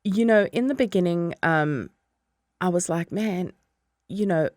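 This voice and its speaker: A woman's voice: fairly low pitch, measured pace, darkish tone, no room sound. A South African woman guest on a podcast, chatting casually, laughing often and acting out her own past thoughts. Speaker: podcast guest, business owner. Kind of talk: casual interview chat.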